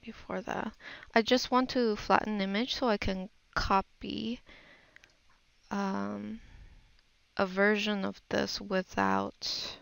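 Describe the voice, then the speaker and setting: A woman narrating a casual art tutorial, unhurried and musing aloud as she works. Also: medium pitch, animated, creaky, fairly bright tone, smooth, no room sound. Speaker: digital painting tutor.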